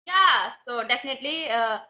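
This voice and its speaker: A woman's voice: a little high, expressive, normal speed, sharp tone. A woman from Himachal Pradesh, hosting a polite, hesitant conversation with many "uh" fillers. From Himachal Pradesh, India. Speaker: host of an English-learning channel. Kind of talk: interview conversation.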